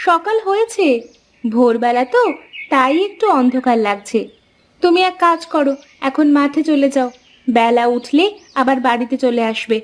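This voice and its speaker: A woman's voice: high voice, expressive, normal speed, pauses often. A woman voicing a caring, practical village farmer's wife in a cartoon folk tale, homely and coaxing.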